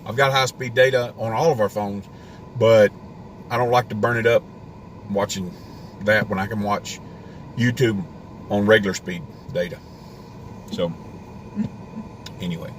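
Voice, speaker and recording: A man's voice: lowish voice, fairly even intonation, measured pace, pauses often, a little hiss or hum. A man hosting a homesteading live stream from inside a parked car, rambling in a casual rant with a worn voice. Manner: ranting, rambling with restarts. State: voice getting worn.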